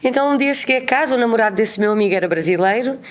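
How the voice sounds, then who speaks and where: A woman in her thirties from Portugal with a European Portuguese accent, a guest telling travel stories in a chatty, lively way. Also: on the high side, rapid-fire. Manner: chatty, animated, acts out quoted voices. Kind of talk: casual storytelling interview.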